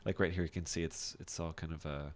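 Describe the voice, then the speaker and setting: A man teaching software in a casual screen-share tutorial, thinking aloud informally as he demonstrates each step. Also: low voice, steady tone, fast. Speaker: software instructor.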